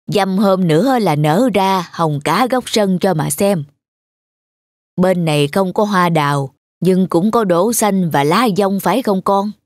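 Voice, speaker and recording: A woman playing a gentle, nostalgic elderly grandmother in a cartoon, speaking in a tender, homely, wistful way. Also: on the low side, no echo, clean studio sound. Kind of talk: animated cartoon dialogue.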